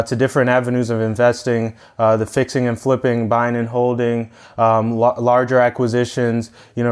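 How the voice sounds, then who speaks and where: A man's voice: medium pitch, smooth. A man in his twenties from Italy, answering interview questions conversationally in an upbeat, explanatory way. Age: 20s. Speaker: podcast guest, entrepreneur.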